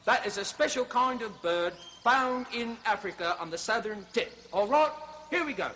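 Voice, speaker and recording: A man's voice: sits high, moderate pace, slight background noise. A man playing a fussy, chattering comic zoo show host. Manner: excitable, chattering, fussy showman.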